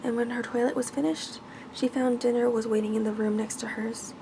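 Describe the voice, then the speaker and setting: Female ASMR storyteller, hushed scripted bedtime reading, creaky edge, clear background hiss or noise. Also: fairly high pitch, flat delivery, fairly quick.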